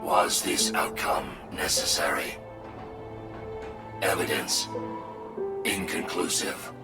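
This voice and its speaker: A man voicing a calm, analytical AI machine in a video game, with stiff, formal, precise diction and synthetic processing. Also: rumbling low, takes it slowly, heavily acted. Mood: analytical, detached. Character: robotic AI wolf machine, calm, analytical, literal-minded. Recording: echoing processed voice under loud music.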